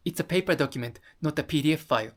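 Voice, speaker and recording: A person from Japan with a Japanese accent presenting an explanatory talk, speaking haltingly and often rephrasing. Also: mid-range voice. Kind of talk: explanatory talk to camera audience.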